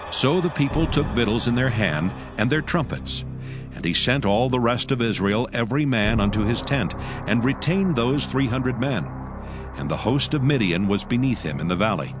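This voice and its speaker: A man's voice: mid-range voice, expressive, moderate pace. Male scripture narrator over music.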